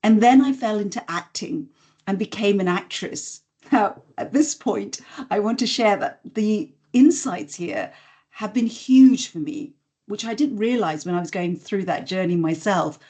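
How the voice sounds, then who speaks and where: Articulate woman from Singapore, measured. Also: mid-range voice, lively intonation, warm tone. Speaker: guest, voice and communications coach. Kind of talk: conversational podcast interview.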